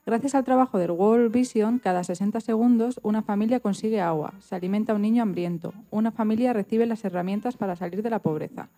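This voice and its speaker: A woman reads a prepared script as a radio presenter. She has a medium-pitched voice with a mellow, unforced tone. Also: quick pace, hardly any pauses. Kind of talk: scripted narration, reading aloud.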